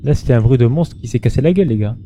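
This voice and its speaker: A man's voice: medium pitch, lively intonation, very fast, warm tone, slight background noise. A man doing casual gameplay commentary in an informal, slangy way, muttering to himself and chatting to his viewers. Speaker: gaming streamer.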